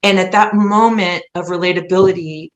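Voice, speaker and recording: A woman with a slightly low voice, recorded in a roomy, echoey space. She speaks as a guest in casual conversation, earnest and emphatic, rambling from one thought into the next. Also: fairly even intonation, moderate pace. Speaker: podcast guest, musical playwright.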